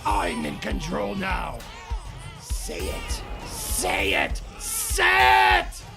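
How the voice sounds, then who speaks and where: A woman's voice: on the low side, wildly swooping pitch, drawn-out words, creaky, raised voice, music in the background, heavily acted. A woman playing a deranged, power-mad villain in an audio drama, ranting and shrieking manically. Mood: gloating, manic.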